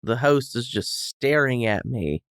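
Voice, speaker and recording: A man's voice: mid-range voice, expressive, measured pace, a bit bright, smooth, no room sound. A man as a podcast guest, chatting casually about food with dry, joking humor. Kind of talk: casual food review chat.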